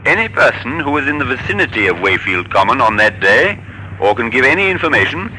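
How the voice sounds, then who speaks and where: A man's voice: medium pitch, very animated, a little fast, pushed and bright. A man playing an official police announcer, reading a formal, clipped bulletin in 1950s radio style. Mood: official, urgent. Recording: faintly noisy old radio recording.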